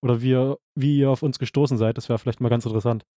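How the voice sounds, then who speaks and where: A young man from Brandenburg co-hosting a podcast, matter-of-fact and reading out numbers slowly. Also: medium pitch, fairly even intonation, warm tone, no room sound, studio quality. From Brandenburg, Germany. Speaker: podcast co-host. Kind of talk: casual podcast chat, reading out stats.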